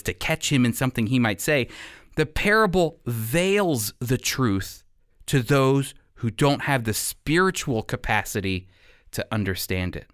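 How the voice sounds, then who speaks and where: A man's voice: medium pitch, a bit bright, no echo, studio quality. A man teaching from the Bible as a program host, emphatic, with rhetorical questions and repeated key words.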